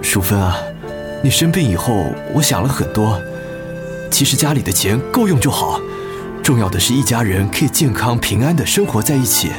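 Music plays under an actor as a middle-aged Taiwanese husband in a radio drama. His voice is mid-pitched and moves widely. He is gentle and apologetic, earnestly pleading with his wife. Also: animated, normal speed, close to an everyday voice. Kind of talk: Mandarin radio drama acting.

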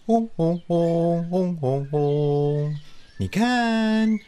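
A woman voicing an excitable, polite bee in a children's radio play, with drawn-out, exclaiming delivery. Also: lowish voice. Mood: admiring, friendly.

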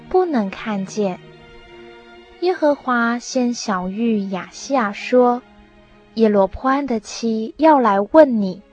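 A woman narrating a radio drama in a measured, scripted storytelling style. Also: a little high, highs cut off. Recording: music behind the voice.

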